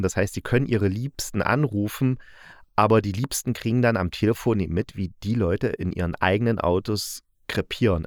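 A man with a fairly low voice talks as a reviewer in a casual, chatty way. He thinks aloud and speculates as he goes. The sound is dry, with little room around the voice. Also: dry recording. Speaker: reviewer of audio dramas.

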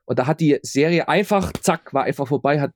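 A man's voice: fairly high pitch, fairly quick. A man answering casually as an interview guest, informally repeating words and often checking that he is understood. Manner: informal, repeats words and phrases, seeks confirmation. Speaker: interview guest, audio drama maker.

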